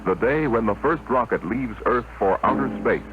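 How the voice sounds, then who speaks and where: A man's voice: mid-range voice, lively intonation, moderate pace, lightly acted. A man narrating a 1950s radio space adventure in a solemn, scripted style, on an old broadcast recording. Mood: solemn, dramatic. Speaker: announcer and narrator. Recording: muffled, very noisy 1950 radio broadcast.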